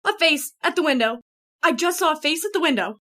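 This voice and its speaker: Female actor as nervous, distrustful friend, high voice, urgent delivery for a radio chiller. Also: animated, fairly quick, bright, forceful tone. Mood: frightened. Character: anxious, suspicious female friend in a horror thriller. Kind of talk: old-time radio horror acting.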